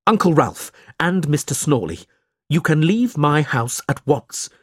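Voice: mid-range voice, big pitch swings, unhurried, husky, no room sound, studio quality, pitched above the performer's own voice. A narrator voicing a protective, indignant man in a storybook, speaking firmly and emphatically. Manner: firm, emphatic. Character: protective, indignant young man standing up to villains.

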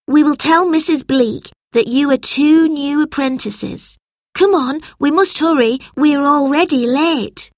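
A woman's voice: high voice, a little slow, darkish tone, no room sound, clean studio sound, pitched above the performer's own voice. A woman voicing a polite, anxious schoolboy in an earnest way for a children's storybook. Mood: earnest, urgent.

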